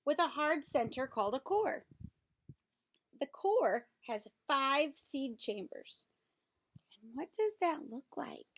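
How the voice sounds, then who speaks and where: A woman reading a children's book aloud like a teacher, pausing to explain things simply and ask questions. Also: high voice, a bit bright.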